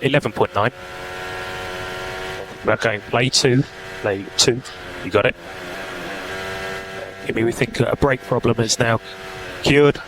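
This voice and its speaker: A man working as a race engineer, giving terse, matter-of-fact technical instructions and numbers over team radio. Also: mid-range voice. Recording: noisy team radio, engine and car noise.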